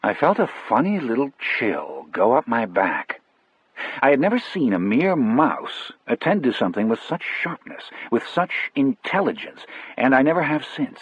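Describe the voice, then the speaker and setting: A man narrating a first-person audiobook story in a measured, reflective manner. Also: medium pitch, big pitch swings, breathy edge, dry recording, highs cut off.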